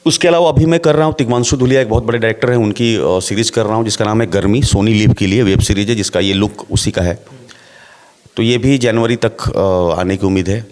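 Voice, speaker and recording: A middle-aged man, an actor, talking in a relaxed interview and laying out his points with rhetorical questions. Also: fairly low pitch, fairly quick. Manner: explains at length with rhetorical questions.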